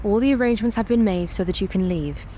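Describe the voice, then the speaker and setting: A woman playing a guarded, commanding queen in a tabletop roleplay, speaking in a formal, measured way. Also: mid-range voice, warm tone, a little hiss or hum, lightly acted. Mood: composed, firm. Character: composed, guarded, commanding young queen wary of rivals. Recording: music playing behind.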